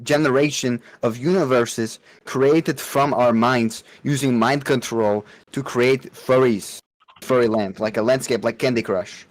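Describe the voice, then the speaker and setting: A man's voice: medium pitch, fairly even intonation, measured pace, dry recording, rough recording. A man rambling absurdly and casually in broken, slangy in an online chat.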